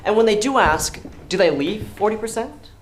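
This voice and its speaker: A man's voice: sits high, expressive, normal speed, reverberant. A man in a stage play acting as a persuasive criminal schemer, coaxing and commanding in a pointed way. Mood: pressing, coaxing. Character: smooth, persuasive male criminal schemer recruiting a reluctant worker. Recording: noisy live stage recording.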